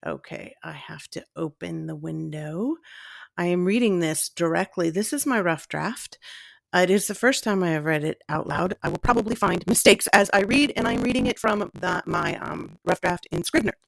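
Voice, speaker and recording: A woman with a gravelly, fry-edged voice chats off the cuff as an author hosting her own podcast. She rambles and puts herself down in an informal way. The sound is close and dry. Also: lowish voice, normal speed, no room sound. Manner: self-deprecating, informal, digressive. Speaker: author and podcast host reading own story. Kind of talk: casual rambling chat, then read-aloud storytelling. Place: at home in a lived-in room.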